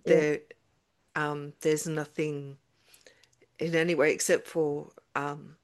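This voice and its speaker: Woman interviewer, low voice, slow and thoughtful; restates before asking. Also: flat delivery. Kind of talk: interview questions.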